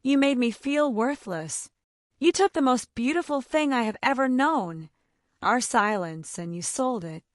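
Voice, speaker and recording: A woman's voice: a little high, expressive, measured pace, dry recording, clean studio sound. A woman voicing a shy, wounded young woman in a romance audiobook, hurt and hesitant but hopeful. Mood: hurt, accusing. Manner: hurt, hesitant, soft-spoken.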